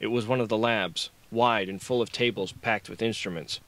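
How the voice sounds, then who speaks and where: A man's voice: fairly low pitch, fairly even intonation, moderate pace, a bit bright. A man reading dramatic, scripted action fiction as an audiobook narrator.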